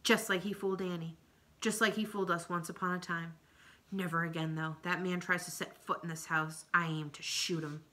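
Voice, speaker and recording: A woman reading aloud, voicing a weary, protective old grandfather in a low, tired way. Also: little pitch movement, fairly quick, pushed and bright. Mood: grim.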